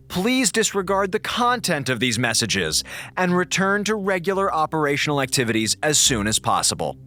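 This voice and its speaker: An actor playing an exasperated, wisecracking communications officer on a space station in an audio drama, sarcastic and dramatic. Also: male voice, fairly high pitch, pushed and bright. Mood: incredulous reading.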